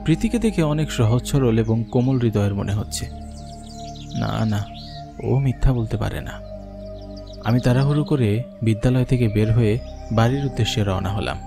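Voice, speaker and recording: Cartoon lead: earnest young schoolmaster-narrator, mid voice, music bed. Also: male voice, medium pitch, lively intonation, a little fast, gravelly, pauses often. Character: young adult male schoolmaster, earnest nephew.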